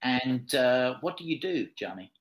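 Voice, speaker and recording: A man's voice: medium pitch, animated, a little slow, lo-fi sound. A man in his fifties from England speaks with an English accent and a mid-range voice. He is tutoring one-to-one in a conversational way. He often repeats his questions and phrases so a learner can follow. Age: 50s. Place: online video lesson call.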